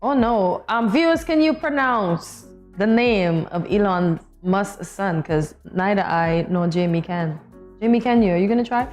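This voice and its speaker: A woman's voice: medium pitch, unhurried, a little mellow, soft background music. A woman co-hosting a morning show, chatting casually with playful, competitive banter. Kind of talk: casual studio chat.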